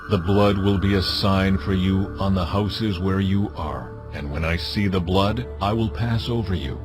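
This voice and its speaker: A man playing God in a dramatized audio Bible, solemn and authoritative as he delivers measured commands. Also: sits low. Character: God, solemn commanding male deity giving laws. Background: music.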